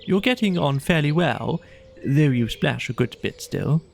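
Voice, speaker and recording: A man voicing a sensible, mild gentleman water rat in a children's audiobook, gently needling with an innocent air. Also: fairly high pitch, lively intonation, a little slow, vocal fry, a little hiss or hum. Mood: innocently teasing.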